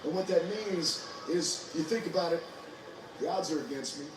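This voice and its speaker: A high-voiced man cutting a boastful, declamatory wrestling promo. He sounds distant and very echoey, like a TV heard from across a room, with music playing clearly behind him. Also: moderate pace, noisy background.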